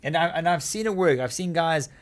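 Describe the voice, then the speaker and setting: A man's voice: fairly high pitch, animated, brisk. A man chatting casually on a podcast, swearing freely and chiming in with short agreeing replies. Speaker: podcast co-host. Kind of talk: casual chat.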